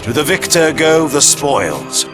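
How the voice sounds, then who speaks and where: An actor playing a proud, ruthless vampire conqueror in a video game, speaking with cold, eloquent authority. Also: male voice, a little high, very animated. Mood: triumphant. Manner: imperious, eloquent, deliberate diction. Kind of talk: theatrical game acting and monologue. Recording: loud background music.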